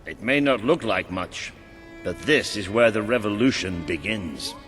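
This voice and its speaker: An actor playing a gruff, seasoned British soldier mentor in a video game, speaking bluntly and with command. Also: male voice, fairly low pitch, animated, measured pace, a bit bright, big-room sound, faint music behind. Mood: grim, earnest. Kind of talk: video game cutscene acting.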